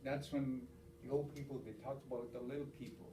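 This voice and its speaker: A man's voice: mid-range voice, fairly even intonation, a little fast, echoey room, distant mic, noisy background. A man whose first language is Stoney, teaching in an informal spoken way, repeating words, counting aloud and restarting phrases. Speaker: elder teacher.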